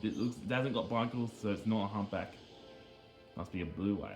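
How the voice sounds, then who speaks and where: Halting, pedantic man over game music. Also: lowish voice, a little mellow. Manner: halting, repeats and restarts phrases. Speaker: commentator on video game cutscenes. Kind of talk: rambling casual commentary over game cutscenes.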